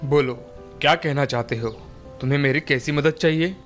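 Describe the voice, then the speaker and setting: A man playing a calm, wise old forest sage in a children's fairy tale. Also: on the high side, normal speed, soft background music, close to an everyday voice. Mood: calm, instructing.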